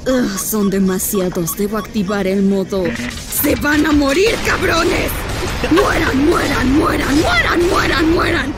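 A woman's voice: sits high, very animated, takes it slowly, heavily acted. A woman voicing a fierce, trigger-happy robot fighter in a comedic cartoon fan dub. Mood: battle rage. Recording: loud music and effects behind.

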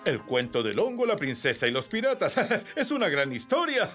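A man's voice: sits high, big pitch swings, normal speed, fairly bright tone. A man voicing a good-humoured, encouraging cartoon cat father with a Latin American Spanish accent, over music. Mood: amused. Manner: jovial, encouraging. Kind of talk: animated children's cartoon dub. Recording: music behind the voice.

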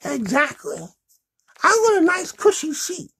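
A sassy young girl puppet, voiced by a man, chatting in a puppet show. The voice sits extremely high and moves around in lively swings. She is fussy and childlike in her replies. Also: a little slow, full character voice. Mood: fussy, chatty.

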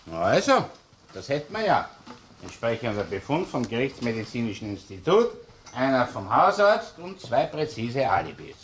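A middle-aged man with a Viennese accent playing a shrewd, brisk senior police inspector in a radio crime drama, colloquial and gruffly businesslike. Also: medium pitch, big pitch swings, a little hiss or hum, natural voice. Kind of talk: German-language radio crime drama acting.

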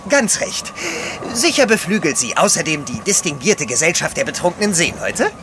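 An actor playing a fussy, long-suffering French manservant in an audio drama, polite but drily sarcastic. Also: male voice, fairly high pitch, very animated, normal speed, background noise. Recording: clear outdoor ship ambience behind.

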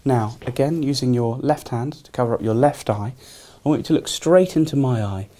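A young British man speaks as a doctor examining a patient. His voice is mellow and mid-pitched. He gives clear, courteous instructions in a small consulting room. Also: fairly quick. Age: young adult. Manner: courteous, frequently thanking. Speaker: doctor, neurology registrar. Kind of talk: polite clinical exam instructions. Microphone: camera across the room.

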